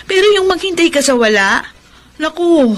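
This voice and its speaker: A woman's voice: high voice, lively intonation, normal speed. An actress with a Filipino accent playing a frank, concerned female friend in a radio drama, giving emphatic advice. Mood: earnest advising. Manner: emphatic, advising. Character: frank, concerned woman friend advising the heartbroken. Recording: noticeable background noise.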